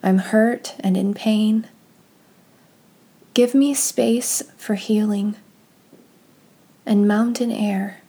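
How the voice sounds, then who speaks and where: A woman speaks extremely slowly, as if leading a contemplative prayer. Her voice is soft and mellow, with a breathy husk to it. Her delivery stays hushed and unhurried, suited to meditative scripture reading. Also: medium pitch, fairly even intonation. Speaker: guided prayer leader.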